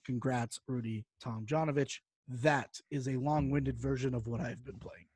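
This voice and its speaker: A man co-hosting a podcast, telling gaming stories in an animated, casual, sweary way. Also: medium pitch, moderate pace, breathy edge, no echo. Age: adult, parent of a young child. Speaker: podcast co-host. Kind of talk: casual chat, long gaming anecdote.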